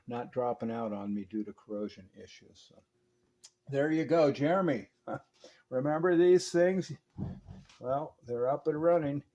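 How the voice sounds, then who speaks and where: An American man talks through a hands-on technical repair in an informal way. His pitch is average for a man. He sounds like a hobbyist technician, comfortable with jargon and explaining as he goes. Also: warm tone, muffled recording. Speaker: radio technician and collector presenting restoration. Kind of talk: hands-on repair walkthrough narration.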